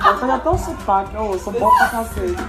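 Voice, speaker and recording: A woman's voice: a little high, big pitch swings, quick pace, roomy sound, over loud music. Laughing Minas Gerais woman teasing playfully. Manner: playful, laughing, exaggerates her regional accent. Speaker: volleyball player. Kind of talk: joking casual chat.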